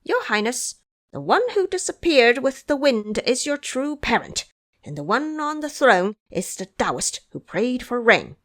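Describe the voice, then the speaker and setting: A woman acts out a cocky little magical monkey for an audio drama. The voice jumps high and swoops widely, teasing and leaning on words with theatrical relish. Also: very animated, measured pace, a bit bright. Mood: grave. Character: cocky magical monkey disciple posing as tiny oracle.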